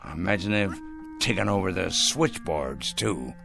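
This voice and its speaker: An actor playing a fanatical, self-righteous crank in a radio drama, fussy and excitable, repeating himself emphatically. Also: male voice, low-pitched, normal speed, gravelly, music in the background, full character voice. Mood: conspiratorial, indignant. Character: fanatical, self-righteous male crank obsessed with punishing evil.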